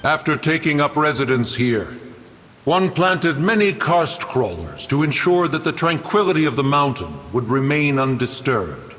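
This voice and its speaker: An actor playing a haughty, ancient guardian spirit in a game, speaking in a stern, stately, archaic manner. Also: male voice, a little high, very animated, fairly bright tone, a little hiss or hum. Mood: aloof, dignified. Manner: stern, archaic, stately, slow formal speech. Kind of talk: dramatic game voice acting. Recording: long echoing reverb with music behind.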